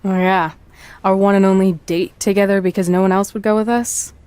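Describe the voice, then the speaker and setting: A woman's voice: mid-range voice, steady tone, a little fast, darkish tone, smooth, no room sound, natural voice. A woman playing a driven, serious young aspiring wrestler in a video game, earnest and no-nonsense. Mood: insistent. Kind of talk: scripted video game cutscene acting. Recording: faint background noise.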